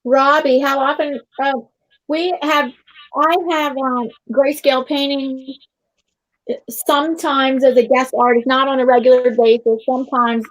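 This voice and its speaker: High-voiced woman, casual livestream host. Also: flat delivery, unhurried. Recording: dull livestream audio.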